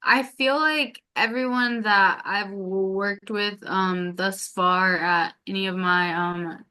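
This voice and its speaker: A woman who stutters speaks slowly as a guest in a casual interview, repeating first sounds and filling pauses with ums. Her voice is bright and smooth. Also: medium pitch, fairly even intonation. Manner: stutters with repetitions and blocks; many filler ums. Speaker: podcast guest.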